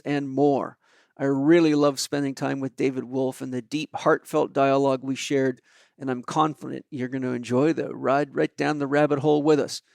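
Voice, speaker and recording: A man's voice: a little high, vocal fry, a little mellow, dry recording, studio quality. A middle-aged man hosting a podcast from a house, enthusiastic and chatty, with lots of fillers. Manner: enthusiastic, uses many fillers. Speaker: podcast host. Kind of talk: conversational podcast interview.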